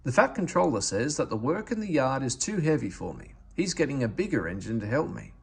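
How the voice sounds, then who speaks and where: A man's voice: mid-range voice, big pitch swings, fairly quick, creaky, husky, full character voice. Man as an eager, plaintive little tank engine. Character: small, eager, put-upon young male tank engine. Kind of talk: storybook character voice.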